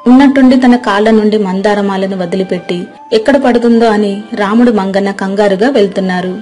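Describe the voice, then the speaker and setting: A woman's voice: medium pitch, fast, mellow, faint music behind. A woman narrating a children's story in a scripted storybook style.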